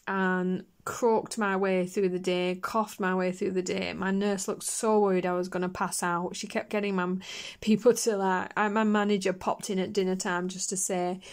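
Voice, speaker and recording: A woman chatting casually on her colouring channel, her voice hoarse and croaky after a chest infection, rambling with fillers and coughs. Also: mid-range voice, flat delivery, normal speed. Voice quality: husky. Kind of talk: casual chat while colouring.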